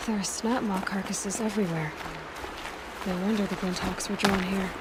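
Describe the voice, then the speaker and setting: A woman's voice: mid-range voice, fairly even intonation, normal speed, a loud crowd, natural voice. A woman playing a determined, principled young hunter in a video game cutscene, direct and firm. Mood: observant. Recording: clear background noise, game ambience.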